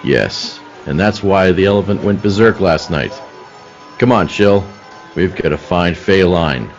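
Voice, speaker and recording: A man playing a brisk, commanding police detective hero in an old-time radio play. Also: low-pitched, lo-fi sound, over music. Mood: brisk, investigating.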